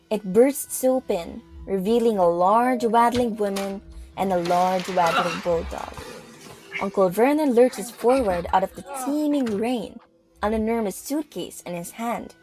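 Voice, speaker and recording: A woman's voice: medium pitch, darkish tone, rough recording. A woman reading scripted narration for an audio drama as its storyteller. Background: music.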